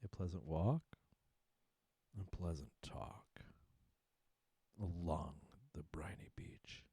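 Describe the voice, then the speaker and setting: A man's voice: deep voice, takes it slowly, gravelly, warm tone, husky, no echo, full character voice. A man voicing a genteel, falsely kind walrus in a storybook poem, coaxing and pompous. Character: smooth-talking male walrus, genteel, falsely kind, hungry.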